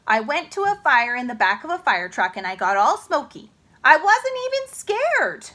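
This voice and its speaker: Plucky, bossy small girl for a picture book, performed by a woman, emphatic, high, very animated. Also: normal speed, exaggerated voice. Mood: proud. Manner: bold, emphatic. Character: bold, bossy, fearless little girl.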